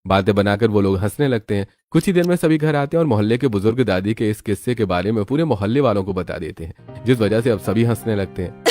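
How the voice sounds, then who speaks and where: A man's voice: lowish voice, expressive, quick pace, darkish tone, faint music behind. A man narrating a scripted moral story as a storyteller.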